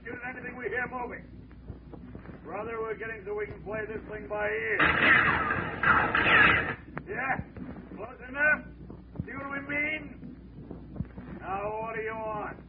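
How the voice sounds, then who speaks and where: A man's voice: sits high, a little slow, pauses often. An actor playing a threatening, taunting gunman in a 1940s radio drama. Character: menacing male gunman holed up in a shack. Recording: tinny, noisy, distant 1940s radio.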